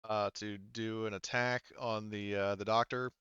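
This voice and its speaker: A man running a tabletop roleplaying game as game master, improvising scenes and rules in a halting, stop-and-start way. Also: lowish voice, little pitch movement, fairly bright tone, clear, resonant tone, no echo, dull audio.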